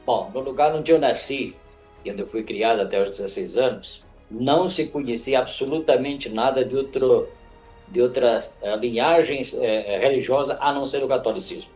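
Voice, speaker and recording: An older man from northern Portugal, a church elder, recalling his life slowly with restarts in a studio interview. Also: medium pitch, a little mellow, highs cut off, faint music behind. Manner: halting, reflective storyteller with frequent restarts. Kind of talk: unscripted interview. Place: podcast studio.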